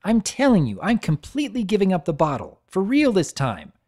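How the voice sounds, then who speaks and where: A man's voice: high voice, wildly swooping pitch, fairly quick, a little mellow, pitched above the performer's own voice, exaggerated voice. A man voicing a boastful, insistent pony mare in a story reading. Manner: defensive, insistent.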